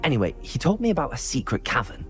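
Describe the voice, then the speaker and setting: Actor as young male wizard student, mid-pitched, expressive, polite, eager, fantasy game dialogue. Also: fast talker, soft background music, lightly acted. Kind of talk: cinematic video game acting.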